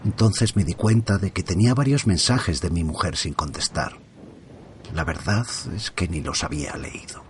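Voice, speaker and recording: Low-voiced man telling a horror tale. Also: normal speed, a little hiss or hum. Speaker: first-person narrator. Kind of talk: scripted horror narration. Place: at home by an open window.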